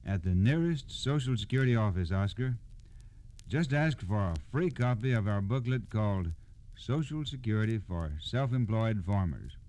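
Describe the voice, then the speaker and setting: A man's voice: lowish voice, expressive, normal speed, mellow. A man reading scripted narration as a storyteller on 1960s radio.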